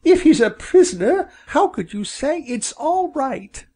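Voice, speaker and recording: A man's voice: sits high, huge pitch swings, a little slow, creaky, dark tone, husky, pitched above the performer's own voice, heavily acted. A man voicing an anxious, doubting mother quail in a storybook, with a fretful, questioning manner. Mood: indignant.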